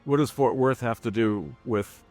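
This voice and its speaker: Measured male podcast host. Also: mid-range voice, darkish tone, faint music behind. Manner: measured, searching for words. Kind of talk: interview questions.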